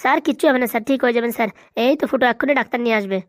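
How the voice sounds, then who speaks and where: A woman's voice: fairly high pitch, rapid-fire, dry recording, clean studio sound, lightly acted. A woman voicing an eager, devoted male helper in a comic cartoon, deferential and fretful.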